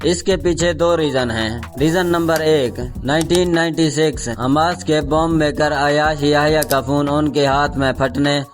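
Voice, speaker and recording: A man reading a scripted explainer narration in a formal, measured, documentary news style. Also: a little high, fairly even intonation. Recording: background music under the voice. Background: music.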